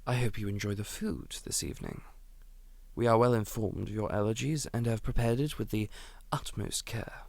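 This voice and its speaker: A man playing a menacing aristocratic Duke in an ASMR audio drama, courteous and quietly threatening, often whispering. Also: fairly low pitch, moderate pace, gravelly, no room sound. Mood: courtly. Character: menacing aristocratic male Duke, manor lord.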